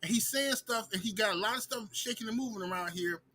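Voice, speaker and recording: A man's voice: high voice, fast, pushed and bright, a little hiss or hum. A man giving his opinions on a sports stream, emphatic and calling people out directly.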